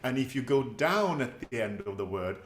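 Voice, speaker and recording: A man's voice: medium pitch, big pitch swings, moderate pace, slight background noise. A man speaking as a language teacher in a question-and-answer session, thoughtful and hesitant in his phrasing. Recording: roomy, echoey sound.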